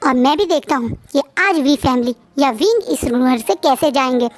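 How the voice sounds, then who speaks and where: A woman doing a defiant, forceful man. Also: high voice, lively intonation, normal speed, no room sound. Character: defiant man claiming his family share.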